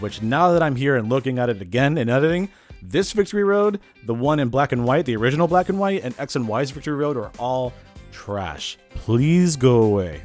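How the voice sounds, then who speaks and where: A man narrates his video game challenge in a casual, slangy way. His pitch moves around in a lively manner, with animated asides over background music. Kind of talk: casual scripted gameplay narration.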